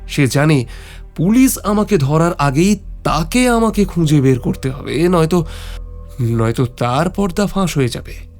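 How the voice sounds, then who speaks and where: A man acting a sharp, daring young detective on the run in an audio drama, speaking in a brooding, dramatic manner. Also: a little high, animated, measured pace, faint music behind. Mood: brooding, suspicious.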